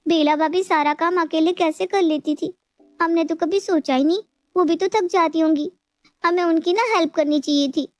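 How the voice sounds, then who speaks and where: A woman playing a sly, lazy younger daughter-in-law in an animated moral story, wheedling and petulant. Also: very high-pitched, moderate pace, dry recording, clean studio sound. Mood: weary, regretful.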